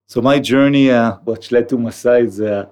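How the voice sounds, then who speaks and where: A middle-aged man with an Israeli accent and a medium-pitched voice answers interview questions. He speaks earnestly and thinks aloud, with frequent fillers and restarts. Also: normal speed, darkish tone. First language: Hebrew. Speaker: CEO guest.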